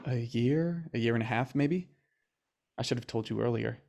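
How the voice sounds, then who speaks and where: Guy acting as a shy awkward young man, gentle, earnest, trips over his words, natural radio drama style. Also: mid-range voice, creaky, lightly acted. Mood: confessional. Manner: hesitant, halting, fumbling for words.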